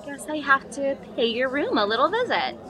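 A woman's voice: high-pitched, big pitch swings, moderate pace. A woman playing a scheming young student in an audio drama, sugary and coaxing one moment and snide the next. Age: young adult. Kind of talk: acted audio drama dialogue.

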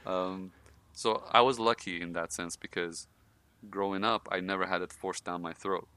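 A man's voice: sits low, fairly even intonation, moderate pace, bright, forceful tone, clear, resonant tone. A man from Iran hosting a podcast, telling his own life stories in a casual, laughing way with many fillers. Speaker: podcast host.

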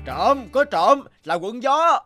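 A man voicing an alarmed townsperson chasing a thief in an animated folk tale. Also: very high voice, slow, full character voice. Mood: alarmed, shouting. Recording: loud music over the voice.

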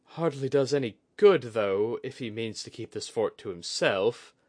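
A man's voice: medium pitch, animated, darkish tone, no echo, voice pushed up. Man playing a courteous, controlled samurai officer. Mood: weary, concerned. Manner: measured, formal, courteous. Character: diplomatic male officer, controlled, polite, placating.

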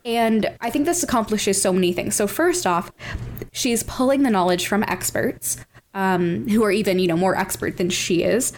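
Woman, podcast co-host, casual discussion, emphatic stress on key words, mid-pitched, some noise behind the voice. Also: normal speed.

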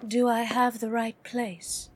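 An actress playing a wealthy businesswoman client in an audio drama, cool and measured. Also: on the high side, little pitch movement, a little hiss or hum. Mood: composed. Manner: cool, measured, dryly composed.

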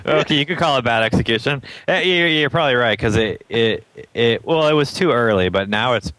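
A man's voice: mid-range voice, expressive, a little fast, a bit bright, dry recording, poor audio. A man from Atlanta, a startup founder as an interview guest, thinking aloud in casual chat with many ums and frequent chuckles.

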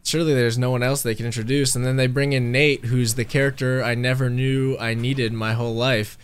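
A congested man chatting casually as a podcast co-host, telling stories with animated energy. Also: mid-range voice, fairly quick, smooth. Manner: chatty, animated storytelling with laughs. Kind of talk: casual chat over a call. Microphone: remote call mic.

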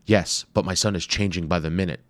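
Male narrator voicing a confident, teasing adventurer, raised above his low natural voice, in a read-aloud storybook. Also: steady tone, dry recording, natural voice. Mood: earnest. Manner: upbeat, brisk and teasing. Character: eager, confident male explorer and scientist.

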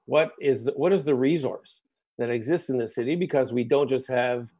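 A man's voice: medium pitch, normal speed, mellow. Discursive man checking in with "right?". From United States. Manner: discursive, keeps checking in with "right?" and "you know". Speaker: guest musician. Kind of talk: reflective interview conversation.

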